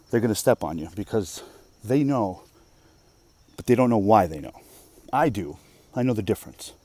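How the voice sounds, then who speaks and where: Man, native Russian speaker, mellow tone, emphatic, swearing, rambling rant. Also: lowish voice. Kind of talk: casual rant monologue.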